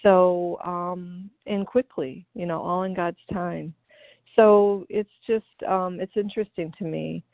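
Low gravelly woman on a phone line. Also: fairly even intonation, dry recording. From Ohio, US. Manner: earnest, grateful, halting with many fillers. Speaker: meeting member sharing. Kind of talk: personal recovery share. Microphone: phone call.

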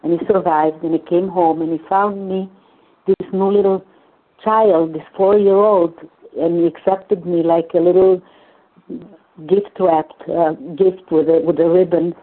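A woman's voice: on the low side, steady tone. Older Hungarian-born woman on a phone line. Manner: halting, repeats words, earnest and emotional. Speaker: meeting participant sharing. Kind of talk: personal share in a phone recovery meeting.

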